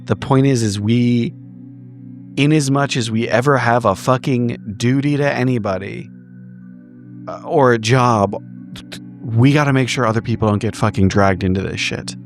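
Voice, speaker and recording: A man with a mid-pitched voice improvises a roleplay scene. He speaks in halting, repeated bursts peppered with profanity, and music plays clearly underneath. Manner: halting, repetitive, profanity-laced speech. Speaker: tabletop roleplay podcast player.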